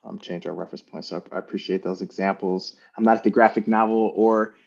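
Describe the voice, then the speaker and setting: Low-voiced man over dull call audio. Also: quick pace, gravelly. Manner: relaxed, musing, trailing off softly. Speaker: panelist, parent. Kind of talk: casual panel chat.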